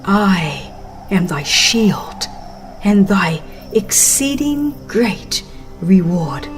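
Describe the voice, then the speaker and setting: A woman's voice: medium pitch, very animated, extremely slow. A woman voicing God as a majestic, reassuring divine figure, solemn and weighty, in a dramatized scripture audiobook. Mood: majestic reassurance. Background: background music.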